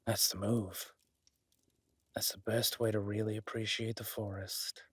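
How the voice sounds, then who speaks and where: A man's voice: on the low side, flat delivery, a little slow, husky, no room sound. A man playing a teasing, protective woodland cabin host, speaking intimately in an audio roleplay. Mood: dreamy, hushed. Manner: teasing, soft-spoken, intimate.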